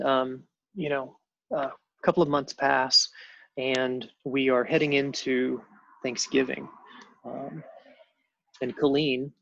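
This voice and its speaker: Gravelly-voiced man in his fifties, halting. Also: medium pitch, fairly bright tone, no room sound, dull audio. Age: 50s. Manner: halting, many ums and restarts. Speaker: guest telling his adoption story. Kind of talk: personal storytelling on a panel show.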